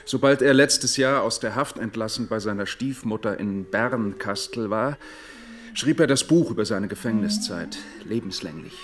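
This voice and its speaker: Music plays clearly behind a man acting a radio-drama publisher. He is dry and dismissive, with a middling pitch and an offhand, sardonic way of talking. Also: natural voice. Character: dry, dismissive male publisher defending his author.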